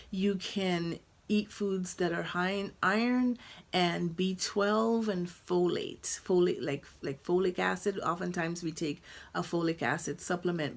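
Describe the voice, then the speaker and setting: Woman, doctor-advocate, unhurried and hesitant delivery, lower voice. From Guyana. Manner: unhurried, thinks aloud with frequent ums and restarts. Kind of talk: informal medical explainer.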